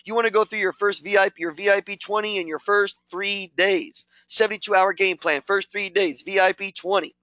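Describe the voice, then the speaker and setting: High-pitched, hyped man coaching a sales team. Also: fairly even intonation, brisk, few pauses, pushed and bright, no echo. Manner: punchy, repetitive, hyped coaching. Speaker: network marketing team leader. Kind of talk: motivational training talk.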